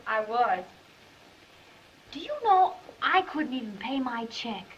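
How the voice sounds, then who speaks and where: A woman's voice: on the high side, big pitch swings, takes it slowly. An actress playing a plucky, proud young woman down on her luck, direct and self-possessed, in a 1930s film. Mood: weary, grateful. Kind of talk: 1930s film comedy-drama acting. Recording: noisy, muffled 1930s film soundtrack.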